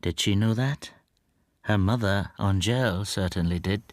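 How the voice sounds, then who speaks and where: Meek, low-voiced actor as anxious bachelor husband. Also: male voice, dry recording, studio quality, natural voice. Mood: subdued, confiding. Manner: hesitant, confiding, halting. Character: meek, anxious middle-aged bachelor husband, gentle and self-effacing. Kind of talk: radio drama acting with intimate first-person narration.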